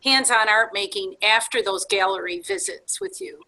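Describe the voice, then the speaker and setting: A woman's voice: mid-range voice, moderate pace, bright, forceful tone. A woman attending an online training as a teacher, carefully checking details with hesitant phrasing over a video call. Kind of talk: clarifying questions in an online meeting.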